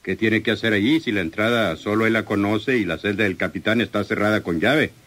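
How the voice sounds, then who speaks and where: A man's low voice carries this radio drama villain. He is a possessive crime boss, condescending and smooth-talking, who expects to be obeyed. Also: brisk, a bit bright. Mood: indignant. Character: menacing, possessive male crime boss, self-satisfied suitor. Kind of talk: old radio soap opera acting.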